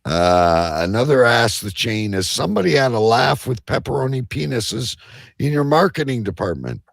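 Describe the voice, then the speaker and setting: A man's voice: mid-range voice, big pitch swings, normal speed, gravelly, no room sound, studio quality. A man hosting a live talk show, reading out odd news and bantering casually with crude jokes. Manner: crude, joking.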